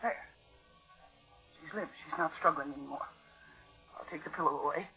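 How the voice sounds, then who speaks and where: A woman's voice: low-pitched, normal speed, pushed and bright, husky, faint music behind, heavily acted. A woman playing a cold, scornful niece scheming to murder for an inheritance, in commanding, theatrical 1940s radio suspense style. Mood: ruthless, gloating. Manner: commanding, contemptuous, coolly theatrical. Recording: muffled vintage radio recording.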